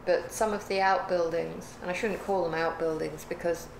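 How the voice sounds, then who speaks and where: Older woman, lowish creaky voice, unhurried reflective chat, home room sound. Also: steady tone. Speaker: guest, the host's mother. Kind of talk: casual chat on a craft livestream. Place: living room or home craft room. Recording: echoey room, clear background noise.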